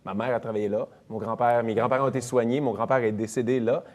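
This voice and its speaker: This is a man in his thirties with a Quebec French accent, a comedian and actor sitting in as an interview guest. He talks in a loose, chatty way and tells stories with a self-deprecating ease. His voice sits at an ordinary middle pitch for a man. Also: fairly even intonation, fast. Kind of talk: casual interview chat.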